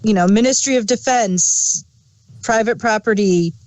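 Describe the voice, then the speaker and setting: A woman chatting informally as a review co-host, explaining things in a halting, unscripted way. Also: medium pitch, darkish tone, rough recording. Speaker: podcast co-host reviewing audio dramas. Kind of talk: casual unscripted chat.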